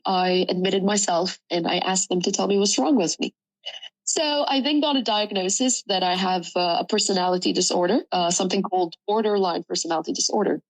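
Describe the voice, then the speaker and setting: A young woman from Sudan, a native Arabic speaker, earnestly reflecting on her life in an interview, pausing with ums and correcting herself. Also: medium pitch, poor audio. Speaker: student interviewee. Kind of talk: personal interview.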